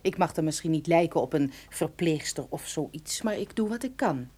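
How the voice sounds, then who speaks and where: A radio drama heroine, the unflappable companion of a detective. She speaks with lively, varied pitch and a dry, teasing edge, caring beneath the pointed remarks. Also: normal speed, breathy edge. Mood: coolly pointed. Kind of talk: radio play acting.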